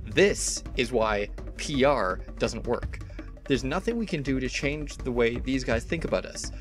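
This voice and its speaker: A man's voice: medium pitch, lively intonation, unhurried, faint music behind, lightly acted. A man dramatically reading the part of a combative, exasperated online debater, argumentative, emphatic and sweary.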